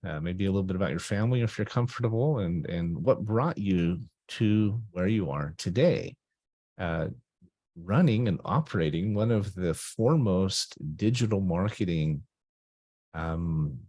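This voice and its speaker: A very deep-voiced man hosts a talk show, warmly rambling through his introductions with frequent uhs and restarts. The sound is close and dry over a video call, with no room around it. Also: animated, unhurried, dry recording. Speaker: host. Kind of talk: talk radio interview.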